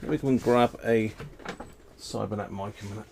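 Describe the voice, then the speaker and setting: A man's voice: lowish voice, fairly even intonation, brisk, creaky, background noise. A man hosting a live stream, muttering to himself as he repairs an old radio. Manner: muttering to himself while tinkering. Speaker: radio hobbyist host.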